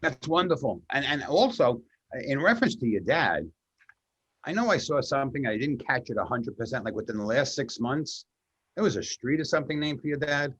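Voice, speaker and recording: A man with a husky voice and very lively, swinging intonation hosts a conversational radio show. He speaks emphatically and effusively, often wandering into asides. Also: medium pitch, a little fast, muffled recording. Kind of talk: conversational interview and guest introduction. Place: radio studio.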